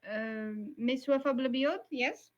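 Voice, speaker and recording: A woman moderating an online Q&A, talking briskly with many filler sounds over a video call. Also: high-pitched. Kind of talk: hosting an online Q&A. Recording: dull, lo-fi call audio.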